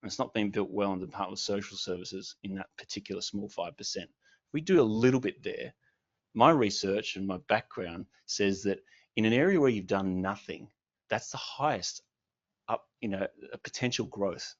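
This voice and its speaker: A man's voice: on the low side, normal speed, dull audio. A man giving a passionate, emphatic speech at a launch event, often repeating words. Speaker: advocate speaker.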